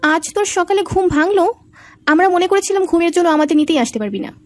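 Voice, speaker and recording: A woman's voice: very high voice, quick pace, darkish tone, no room sound. A woman playing a teasing young city friend in an audio story.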